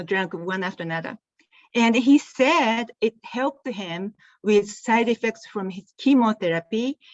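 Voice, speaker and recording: A woman's voice: on the high side, a little mellow, dry recording, dull audio. Japanese-accented woman telling her story. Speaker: guest, tea company founder. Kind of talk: storytelling interview answer.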